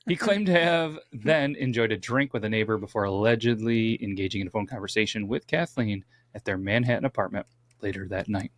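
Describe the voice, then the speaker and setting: A man's voice: fairly low pitch, brisk, no room sound. A man co-hosting a casual true-crime podcast, reading from his notes with stumbles and wry asides. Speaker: podcast co-host.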